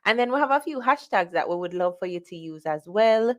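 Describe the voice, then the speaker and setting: A Jamaican woman hosting a live online event in an upbeat, welcoming way. Her voice sits at an ordinary middle pitch for a woman. She moves easily through greetings and introductions. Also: animated, fairly quick, fairly bright tone. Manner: upbeat, welcoming roll call of viewers. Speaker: conference host.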